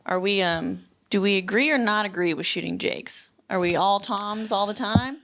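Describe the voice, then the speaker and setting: A woman from South Texas in a casual panel chat, talking in a wry, quick-witted way. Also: medium pitch, a bit bright.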